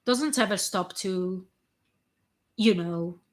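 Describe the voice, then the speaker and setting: A hoarse woman reading the lines of a young man who speaks haltingly and searches for words. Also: mid-range voice, close to an everyday voice. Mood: hesitant.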